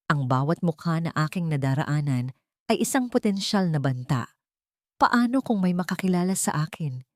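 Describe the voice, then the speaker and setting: Female narrator for dramatic audio fiction: lower register, measured and theatrical, recorded very dry. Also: no room sound. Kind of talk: first-person dramatic scripted narration.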